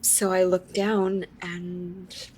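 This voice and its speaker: A woman's voice: fairly low pitch, little pitch movement, extremely slow, darkish tone. An actress playing a shaken, wondering woman who recounts her strange experience in a confiding, conversational monologue for a live audio drama. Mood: stunned. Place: live on stage in a theater.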